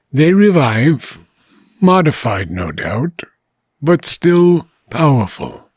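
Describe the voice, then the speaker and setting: A man reading a philosophical book aloud, in deliberate, measured phrases with frequent pauses. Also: fairly high pitch, darkish tone, no room sound. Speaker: audiobook reader.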